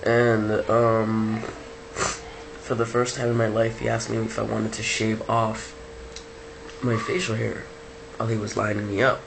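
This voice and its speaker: A man talking casually to his camera in a relaxed, rambling way with many ums, sounding like he just woke up. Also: lowish voice, unhurried, gravelly, long pauses. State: just woke up, low-key. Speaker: vlogger. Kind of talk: casual video diary. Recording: noisy background hiss.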